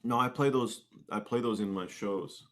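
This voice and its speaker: Low-pitched man in casual conversation. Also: moderate pace. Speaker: guest, singer-songwriter and musician. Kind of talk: casual interview chat.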